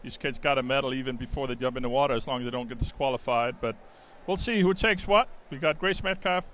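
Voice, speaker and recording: A man's voice: medium pitch, fast. A middle-aged man from Denmark, a native Danish speaker, giving live swimming commentary in a conversational, explanatory way with some hesitation. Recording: steady clear background venue noise. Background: swim meet ambience.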